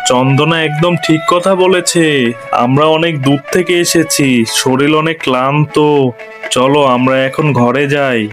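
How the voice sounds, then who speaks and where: A man voicing a gentle, worried widowed village father in a children's cartoon, earnest and soothing. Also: fairly high pitch, steady tone, a little slow, lightly acted. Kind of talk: children's moral cartoon acting. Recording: faint music behind the voice.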